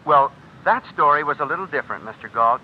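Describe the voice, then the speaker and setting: An actor in an old radio drama playing a smug, menacing blackmailer, a writer who talks with insinuating mock politeness. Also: male voice, on the high side, expressive, fast talker, natural voice. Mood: smug insinuation. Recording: dull, noisy old radio recording.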